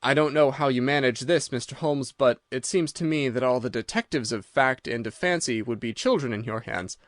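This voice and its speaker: A man's voice: mid-range voice, normal speed, no room sound, clean studio sound, close to an everyday voice. Man playing a hearty, wary old country squire. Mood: shaken, forcing cheer. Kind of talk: old radio mystery storytelling.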